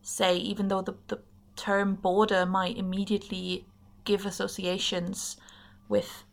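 A woman's voice: mid-range voice, level tone, a little slow, gravelly. A young woman from Germany, a native German speaker, talking thoughtfully and a little hesitantly as a podcast guest. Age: young adult. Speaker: podcast guest, politics master's student. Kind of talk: casual interview conversation.

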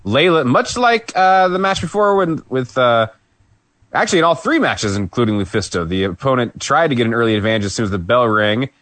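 A man's voice: medium pitch, lively intonation, fairly quick, a bit bright, no echo. A man co-hosting a podcast, recapping a wrestling match in casual chat, rambling with fillers and restarts. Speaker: podcast co-host.

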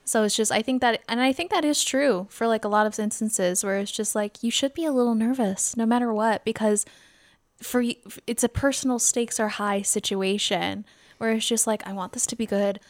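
A woman's voice: a little high, normal speed. A woman co-hosting a podcast, chatting casually and emphatically in informal, repetitive phrasing. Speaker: podcast co-host. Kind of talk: casual chat.

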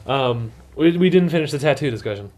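A man's voice: medium pitch, expressive, a loud crowd. A young man co-hosting a comedy podcast, teasing his friends in casual banter. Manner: teasing, quick, jokey. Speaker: podcast co-host.

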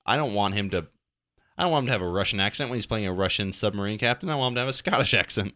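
A man's voice: fairly low pitch, animated, very fast, bright, forceful tone, no echo. Dry, sarcastic man riffing on a movie. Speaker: movie commentary co-host. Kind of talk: casual riffing movie commentary.

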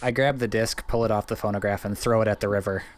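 A man's voice: on the low side, steady tone, fast, loud music behind the voice. A man improvising his moves and lines as a player in an audio role-playing game.

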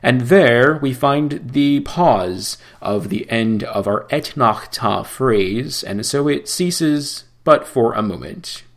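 A man teaching how to chant scripture, explaining slowly and breaking into chanted phrases. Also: mid-range voice, expressive. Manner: slow, measured explanation between chanted phrases. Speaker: cantor teaching Torah chanting.

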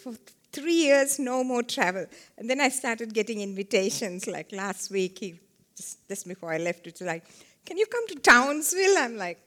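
A woman's voice: a little high, big pitch swings, moderate pace, fairly bright tone. A woman in her seventies from Sri Lanka, a guest speaker giving a live seminar talk, chatty and self-deprecating with frequent laughter. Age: 70s. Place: seminar room with a small audience.

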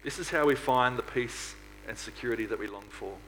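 A man's voice: mid-range voice, fairly quick, a bit bright, a little hiss or hum, low-quality recording. A man preaching a sermon to a congregation in an earnest manner, often putting rhetorical questions to his listeners. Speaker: preacher at a church.

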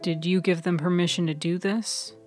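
A woman voicing a calm, attentive man who asks measured, probing questions in a story podcast. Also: fairly low pitch, faint music behind, close to an everyday voice. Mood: cautious. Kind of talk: serial fiction audio storytelling.

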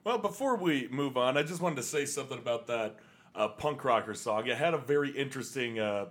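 A man's voice: medium pitch, lively intonation, fairly quick. Podcast co-host, man, casual chat, hesitant and rambling delivery. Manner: rambling, hesitant, lots of fillers and restarts. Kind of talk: casual chat about punk music. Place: Salt Lake City, USA.

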